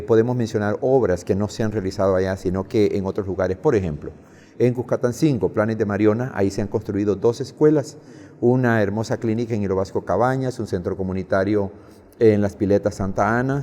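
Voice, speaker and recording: A man's voice: fairly low pitch, mellow. A Salvadoran man in his fifties giving earnest answers as an interview guest. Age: 50s. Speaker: interview guest, head of a community charity. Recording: reverberant hall sound.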